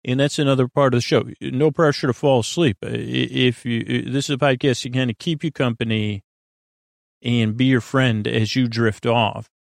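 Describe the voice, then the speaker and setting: A man with a creaky voice hosting a sleep podcast in a meandering, halting monologue that keeps interrupting itself. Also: medium pitch, steady tone, dry recording, studio quality. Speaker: podcast host.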